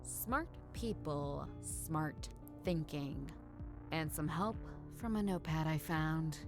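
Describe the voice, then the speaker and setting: An actress playing a tough, dry-witted woman detective who narrates a noir game in a deadpan, understated way. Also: deep voice, slow, over music. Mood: defiant, cool.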